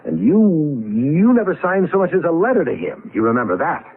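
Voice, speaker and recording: Husky, swooping, sardonic business partner. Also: male voice, fairly high pitch, very animated, brisk. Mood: accusing. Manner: sardonic, scornful, emphatic. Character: disillusioned, sardonic older business partner and mentor. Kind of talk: 1970s radio drama acting. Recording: muffled vintage radio broadcast.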